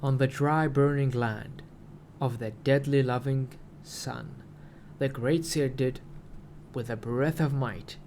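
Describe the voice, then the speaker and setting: Slow, gravelly man reciting. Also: medium pitch, fairly even intonation, a little mellow, a little hiss or hum. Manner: solemn, deliberate, broken phrasing with long pauses. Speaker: writer reading own story. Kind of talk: slow poetic story recitation.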